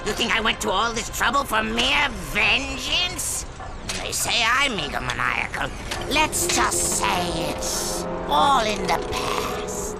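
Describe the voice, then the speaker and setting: An actor playing an egomaniacal, short-tempered robot supervillain in a video game, theatrical and grandiose. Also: male voice, sits high, big pitch swings, slow, gravelly, full character voice. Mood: gloating. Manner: theatrical, grandiose, given to shrieking. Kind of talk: video game cutscene acting. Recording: loud music behind the voice.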